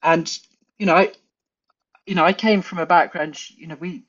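English woman guest, musing and unhurried, muffled lo-fi recording. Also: on the low side, fairly even intonation, breathy edge. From England. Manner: thoughtful, many fillers and restarts. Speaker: guest forager. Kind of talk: casual interview chat.

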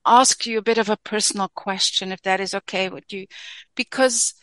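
A woman hosting a radio interview, effusive in her welcome and asking gentle, probing questions. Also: medium pitch, fairly even intonation, moderate pace, no echo. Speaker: host.

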